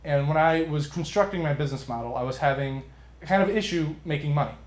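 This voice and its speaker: A young man, a student contestant, explaining his business pitch to judges in an earnest, conversational way. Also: fast. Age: young adult. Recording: roomy, echoing live sound.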